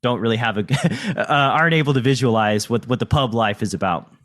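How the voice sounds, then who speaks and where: A man hosting a casual interview, asking questions in a halting, dry way. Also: medium pitch, a bit bright. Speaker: podcast host, interviewer.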